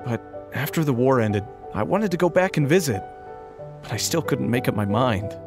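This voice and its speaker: A man's voice: medium pitch, animated, normal speed, music in the background, lightly acted. A man playing a nervous young soldier in a video game, hesitant, formal and deferential. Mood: nervous, earnest. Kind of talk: scripted video game voice acting.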